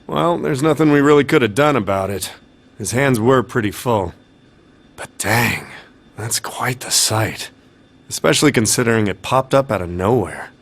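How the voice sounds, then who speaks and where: An actor playing a laid-back, plain-spoken comrade with a casual manner in a video game cutscene. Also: male voice, medium pitch, lively intonation, normal speed. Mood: relaxed, impressed. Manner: casual, unfussy.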